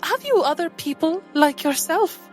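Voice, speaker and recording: A woman's voice: very high-pitched, lively intonation, moderate pace, faint music behind. A woman voicing an eager, playful lady companion in a tabletop game, with theatrical, genteel and formal phrasing. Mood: curious.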